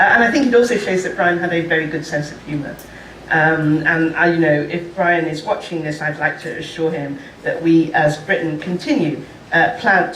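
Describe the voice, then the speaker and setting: A woman diplomat gives measured, halting remarks at a panel, filling her pauses with small hesitations. She sounds some distance from the microphone in a large, echoey conference room. A faint hum sits underneath. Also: sits low. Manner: measured, hesitant, many fillers. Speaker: diplomat at a national mission.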